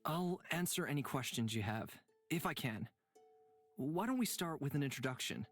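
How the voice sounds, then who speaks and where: A man voicing a courteous, composed and kindly traveler in a visual novel, polite and measured. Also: a little high, lively intonation, a bit bright, faint music behind. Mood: polite, sincere.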